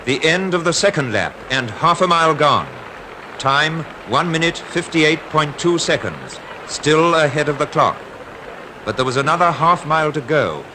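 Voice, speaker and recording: 1950s newsreel man: Received Pronunciation, highish voice, formal dramatic announcing, noisy archive sound. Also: lively intonation, moderate pace. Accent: British Received Pronunciation. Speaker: newsreel commentator. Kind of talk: 1950s scripted newsreel commentary.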